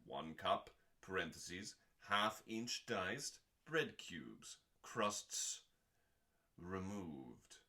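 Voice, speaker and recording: A man reads recipes aloud with theatrical weight. His delivery is slow and deliberate, broken into short phrases with clear pauses. The voice is bright and forceful, with dramatic rises and falls and the odd deadpan aside. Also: deep voice. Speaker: host reading cookbook recipes aloud.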